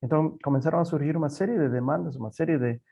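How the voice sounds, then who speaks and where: A man from Peru speaking Portuguese with a Peruvian Spanish accent, giving a halting conference presentation over a video call, often repeating words and saying "eh". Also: on the high side, vocal fry, warm tone, husky. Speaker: panelist, software company representative. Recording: dull lo-fi call audio.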